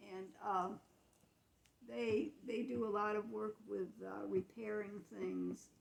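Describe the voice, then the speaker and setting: A woman's voice: medium pitch, vocal fry, a little hiss or hum, poor audio. An American woman in her eighties telling childhood memories to family in a casual, explanatory, matter-of-fact way. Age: 80s. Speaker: grandmother recalling her childhood.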